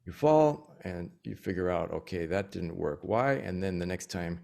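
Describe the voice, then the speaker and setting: Gravelly-voiced man coaching interpreters. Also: low voice, expressive, moderate pace, dark tone. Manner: encouraging, explanatory. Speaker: interpreting instructor. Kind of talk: teaching an online practice session.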